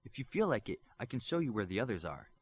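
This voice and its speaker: A man's voice: fairly low pitch, big pitch swings, fast talker, breathy edge. A man doing Bioforce, a dry-witted healer.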